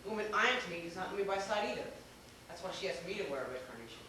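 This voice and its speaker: A man playing a worldly, drily amused know-it-all gentleman in an amateur stage comedy, speaking in a glib, theatrically formal way. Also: sits high, expressive, rapid-fire. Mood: insistent, polite. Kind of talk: amateur stage acting in a comic one-act play. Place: small theater stage, recorded from the audience. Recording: echoey theater, distant mic, noticeable noise.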